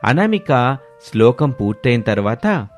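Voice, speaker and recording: A man's voice: medium pitch, sing-song, measured pace, faint music behind. A man reads scripted narration of a moral family story as a storyteller.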